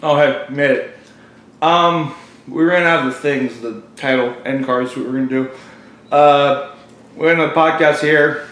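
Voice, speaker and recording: A man hosting a podcast, improvising a halting, offhand and jokey address to viewers. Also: fairly high pitch, fairly bright tone. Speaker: podcast host. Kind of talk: improvised casual sign-off to viewers. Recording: echoey room.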